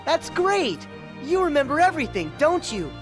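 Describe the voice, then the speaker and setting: A plucky teenage hero in a game. The youthful, high voice moves in lively swings, eager and good-hearted, with faint music underneath. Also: male voice, moderate pace. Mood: encouraging. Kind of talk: animated game voice acting.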